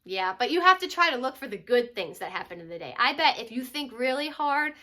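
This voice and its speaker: A woman's voice: on the high side, sing-song, brisk, sharp tone. A woman reading a children's picture book aloud as a teacher would, expressively and with dramatic emphasis on key words.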